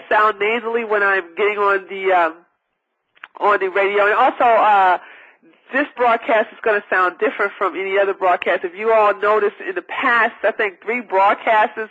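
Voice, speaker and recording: A man's voice: high-pitched, bright, forceful tone, low-quality recording. A man hosting a call-in radio health advice show, talking in a rambling, emphatic way with lots of fillers and restarts. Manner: rambling, repetitive, with many fillers; emphatic and preachy. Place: broadcasting over a phone-based line.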